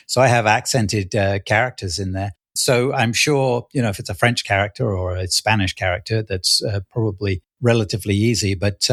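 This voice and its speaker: A man's voice: lowish voice, fairly bright tone, dry recording, studio quality. A man co-hosting a podcast, asking questions in a casual conversational style. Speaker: podcast co-host. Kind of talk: casual interviewing.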